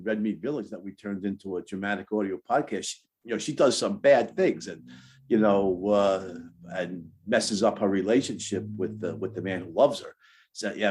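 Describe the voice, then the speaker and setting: Low-voiced Brooklyn man, halting talker. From Brooklyn, New York. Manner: halting, repeats words and phrases. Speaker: author being interviewed. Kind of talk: casual conversational interview.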